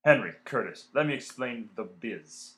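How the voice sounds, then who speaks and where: A man's voice: medium pitch, expressive, normal speed, fairly bright tone, lightly acted. A man playing a hard-nosed, condescending showbiz agent in a radio play. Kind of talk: old-time radio play acting.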